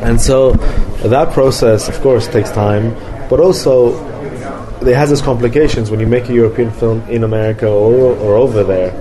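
A man who grew up in London, a film director answering interview questions in a halting way, with many fillers and restarts. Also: mid-range voice, steady tone, low-quality recording. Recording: crowd noise behind.

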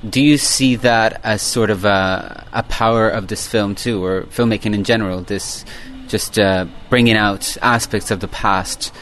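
Deep voiced podcast guy asking long rambly questions, uh and you know a lot, bit of hum. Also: fairly even intonation, a little slow, few pauses, a bit bright. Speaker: podcast host. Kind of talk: interview questions.

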